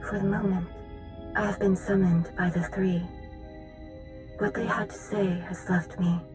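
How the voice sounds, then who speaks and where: An actress playing a solemn, secretive mystic in a game cutscene, husky and breathy, speaking in a measured, formal way. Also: female voice, medium pitch, natural voice. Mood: uneasy, guarded. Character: solemn mystic seer, grave and secretive woman. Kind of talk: dramatic video game cutscene acting.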